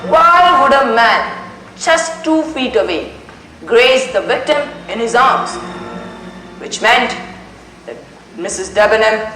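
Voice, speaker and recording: A young performer in a school play acting as a male detective, declaiming with deliberate, dramatic pauses. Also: medium pitch, expressive. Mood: accusing, deliberate. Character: theatrical male detective solving a murder. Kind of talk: amateur school stage play monologue. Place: school stage. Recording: echoey stage recording with music behind.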